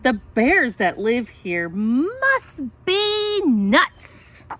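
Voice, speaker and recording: Woman as storybook little girl, tired and exasperated, dramatic groans, raised swooping pitch. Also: wildly swooping pitch, drawn-out words, heavy background noise, heavily acted. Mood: exasperated, groaning. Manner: groaning, dramatic, self-talking.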